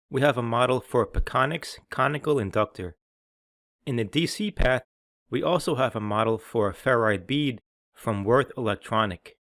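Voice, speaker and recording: A man presenting a scripted software tutorial, explaining in a clear, orderly way. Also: medium pitch, no echo, studio quality. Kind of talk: scripted instructional narration.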